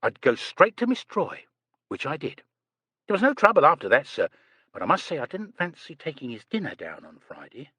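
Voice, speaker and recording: Young woman, housemaid, chatty, prim, nervous, higher voice, man doing it, dry sound. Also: sing-song, normal speed, sharp tone, breathy edge, dry recording, full character voice. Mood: chattering. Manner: prim, breathless, gushing, says sir constantly.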